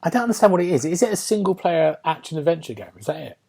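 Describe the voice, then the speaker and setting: A man's voice: fairly high pitch, lively intonation, racing, gravelly, warm tone, slightly rough. A British man hosting a podcast, chatting casually about video games and often turning statements into questions. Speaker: podcast host.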